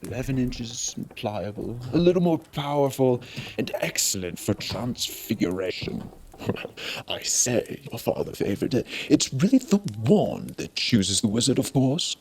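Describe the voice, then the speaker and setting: A man voicing an eccentric, eerie old wandmaker, soft-spoken and musing, in a theatrical storybook reading. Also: medium pitch, very animated, slight background noise. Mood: musing, ominous. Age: older. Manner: musing, theatrical, drawn-out phrasing with sudden eager bursts. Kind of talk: dramatic audiobook reading with character voices.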